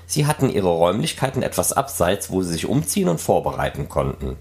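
A man's voice: sits low, very animated, a little fast, darkish tone, breathy edge. A man presenting and reporting as the host of a fan podcast. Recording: noisy, ambient background sound.

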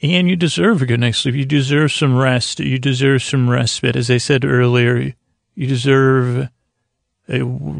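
Creaky-voiced man, rambling and halting. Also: mid-range voice, no echo, clean studio sound. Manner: halting, meandering, self-deprecating. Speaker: host of a sleep podcast. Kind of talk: rambling, improvised bedtime monologue.

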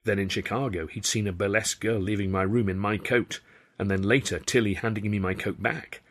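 A man reading his own first-person novel aloud as a wry, expressive storyteller. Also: lowish voice, brisk. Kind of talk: first-person audiobook narration.

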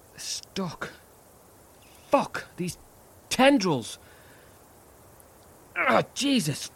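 An actor playing a frightened husband whose hands are overtaken by an alien growth, panicked, stammering and pleading in a horror audio drama. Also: male voice, high-pitched, vocal fry, a bit bright, breathy edge, exaggerated voice. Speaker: lead character.

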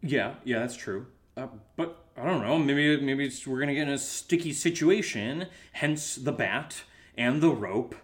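A man playing an impulsive, eager young American schemer in a comedic audio drama, talking in a casual, rambling, excitable way. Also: a little slow, natural voice. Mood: goofy, insistent. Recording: low-level home recording, faint noise.

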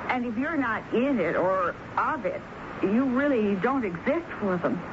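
An actress playing a meek, guarded typist in a 1970s radio drama, speaking haltingly and timidly repeating words. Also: female voice, fairly high pitch, animated. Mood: flustered, self-effacing. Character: meek, self-effacing female typist, guarded and nervous. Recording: muffled, noisy 1970s radio broadcast.

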